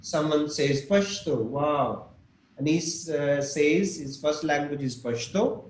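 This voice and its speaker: Slow, patient man teaching English. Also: on the high side, expressive. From Pakistan. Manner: slow, patient, explains with examples and asks students questions. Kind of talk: online class lesson. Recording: echoey room with faint noise.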